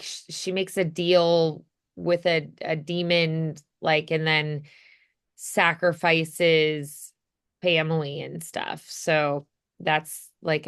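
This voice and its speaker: A woman's voice: low voice, fairly bright tone, clear, resonant tone. A woman teaching voice acting in a casual one-on-one chat, thinking aloud hesitantly and saying "like" often.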